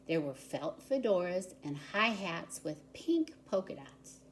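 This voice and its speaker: Female storybook reader for preschoolers: low register, very unhurried, expressive delivery, slight background noise. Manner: expressive, deliberate storyteller for young children. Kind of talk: children's picture book read-aloud.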